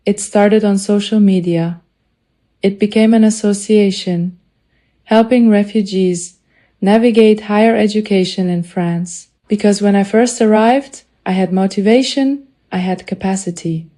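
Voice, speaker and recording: A woman with a foreign accent reading a prepared personal testimony in an earnest, deliberate way, in short measured phrases. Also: medium pitch, mellow. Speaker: student telling a personal story.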